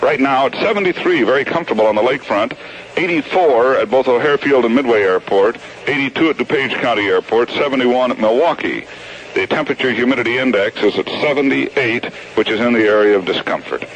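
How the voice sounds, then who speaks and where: A man's voice: mid-range voice, brisk, lo-fi sound, slight background noise. A man giving a conversational weather forecast as a radio forecaster on a 1970s AM broadcast.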